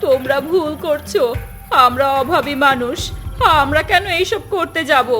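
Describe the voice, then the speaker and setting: A worried, protesting farmer's wife from a cartoon , played by a woman, poor and pleading. Her voice is high, over faint background music. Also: moderate pace, sharp tone. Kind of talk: Bengali cartoon voice acting.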